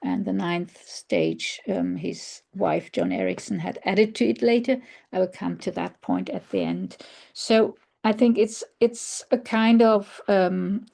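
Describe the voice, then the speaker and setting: A woman with a German accent, a non-native English speaker, giving an online conference presentation in a halting, thoughtful way, with many fillers and restarts. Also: mid-range voice, huge pitch swings, gravelly, darkish tone. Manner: thoughtful, searching for words. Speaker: researcher presenting.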